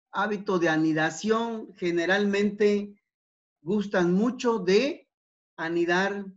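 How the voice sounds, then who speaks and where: A man giving an academic talk over slides, unhurried, with many fillers and repeated words. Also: high voice. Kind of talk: conference presentation over slides. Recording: dull, lo-fi online talk.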